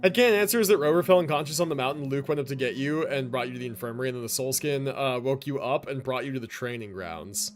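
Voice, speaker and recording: A man livestreaming a game with casual, slangy commentary, musing out loud and swearing now and then. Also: mid-range voice, fast talker, few pauses.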